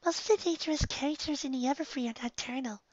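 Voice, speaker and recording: A man voicing a timid, hesitant, soft-spoken female pony in a storybook reading. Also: extremely high pitch, quick pace, dry recording, lo-fi sound, pitched above the performer's own voice.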